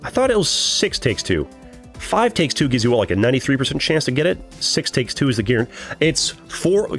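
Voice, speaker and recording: A young man with a deep voice casually commenting on his strategy game live, thinking aloud and answering chat. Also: a bit bright. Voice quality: deeper voice than usual. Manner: chatty, thinks aloud, reads chat and laughs often. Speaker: streamer.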